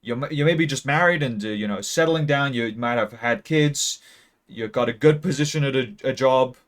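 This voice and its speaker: Young man, late teens, deadpan mock-math rambler, hesitant, close peaking mic. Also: mid-range voice, normal speed. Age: young adult. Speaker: video creator. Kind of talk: mock-serious graph explainer. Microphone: close mic that peaks.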